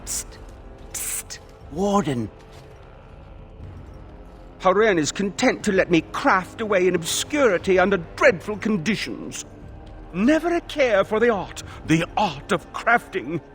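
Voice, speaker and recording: High-voiced, fussy old craftsman. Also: sing-song, unhurried, pauses often, fairly bright tone, faint music behind, full character voice. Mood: conspiratorial, aggrieved. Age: older. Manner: affected, precious, breathlessly dramatic. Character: fussy, theatrical old male master craftsman, dreamy perfectionist. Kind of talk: fantasy video game acting.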